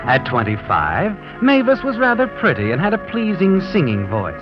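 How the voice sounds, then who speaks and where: Ominous knowing storyteller, dramatic rise and fall, portentous, muffled 1940s radio with music behind. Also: male voice, on the high side, wildly swooping pitch, moderate pace. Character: mysterious male night-walking storyteller, ominous and knowing. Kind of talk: 1940s radio mystery narration.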